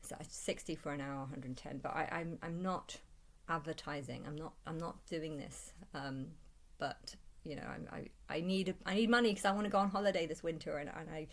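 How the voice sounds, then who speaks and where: Low-voiced woman, halting and rambling. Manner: halting, repeats and restarts phrases often. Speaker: vlogger. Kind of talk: rambling personal monologue.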